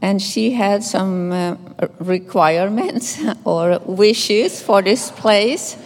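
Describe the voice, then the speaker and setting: An older woman from Finland with a Finnish accent, a nun reading scripture and giving a gentle, measured memorial tribute. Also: medium pitch. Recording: echoey hall reverb.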